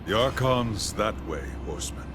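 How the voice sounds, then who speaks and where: An actor playing a noble, steadfast angel warrior guard in a video game, speaking in a formal, dignified way. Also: male voice, lowish voice, expressive, moderate pace, over music, close to an everyday voice. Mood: steadfast, earnest. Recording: clear background ambience noise.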